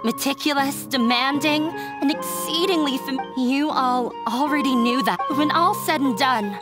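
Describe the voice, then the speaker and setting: A woman's voice: high-pitched, normal speed, loud music behind the voice, natural voice. An actress playing a skeptical, subdued young woman in a video game, frank yet hesitant. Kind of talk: video game character acting.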